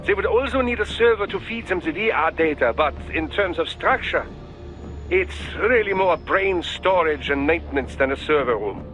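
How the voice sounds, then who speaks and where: An actor playing a polite, scholarly doctor of cyborgs in a video game, formal, deferential and a little halting. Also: male voice, on the high side, lively intonation, fairly bright tone, soft background music, poor audio. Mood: musing, precise. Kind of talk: video game cutscene acting.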